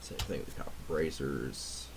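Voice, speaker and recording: Low-voiced man, noisy gameplay recording. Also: moderate pace. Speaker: let's play host. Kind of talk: casual gameplay commentary. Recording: noisy mic with keyboard clicks.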